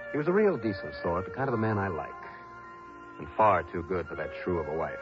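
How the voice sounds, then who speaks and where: A man acting as a brisk, hard-boiled investigator who narrates his case in an emphatic 1960s radio drama style. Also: sits low, highs cut off. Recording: band-limited old radio recording, music behind.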